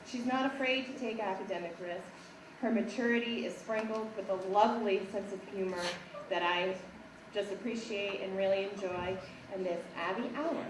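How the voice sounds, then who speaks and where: A woman teacher with a raspy voice, reading prepared praise for students at a school assembly. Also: medium pitch. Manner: measured, reads prepared praise. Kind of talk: award speech at a school assembly. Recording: echoey hall, distant mic, background noise.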